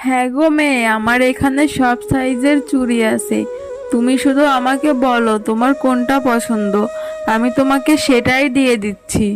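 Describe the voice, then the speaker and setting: A high-voiced market woman from a kids' cartoon, acted by a woman. She is a coaxing, firm bangle seller, heard over clear background music. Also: fairly even intonation, moderate pace, lightly acted. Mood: obliging. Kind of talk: children's cartoon dialogue. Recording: music behind the voice.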